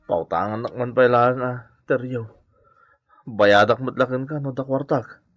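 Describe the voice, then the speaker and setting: An actor playing a whining, hapless gambling husband in a comedy radio drama, groaning and pleading. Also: male voice, medium pitch, moderate pace, no echo, muffled recording, lightly acted.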